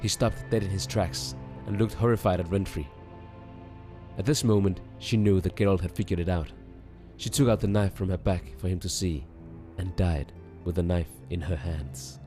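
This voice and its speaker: A man's voice: sits low, normal speed. A man reading scripted fantasy story narration, with music behind him. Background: background music.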